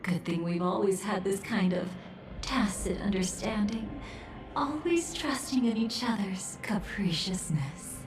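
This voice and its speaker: A woman acting a slow, echoey, taunting villainess. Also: medium pitch, lively intonation, lightly acted. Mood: mock regretful, teasing. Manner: teasing, savoring, softly menacing, drawn-out delivery. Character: taunting, obsessive, sly villainess, a memory manipulator. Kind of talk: theatrical video game voice acting. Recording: echoey, music underneath. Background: game music.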